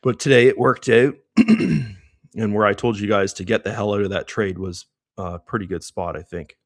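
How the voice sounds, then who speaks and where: A middle-aged man hosting a live trading stream, hoarse and losing his voice, who rambles casually through market commentary with many fillers. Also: fairly low pitch, fairly even intonation, normal speed, dry recording, studio quality. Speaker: trading livestream host.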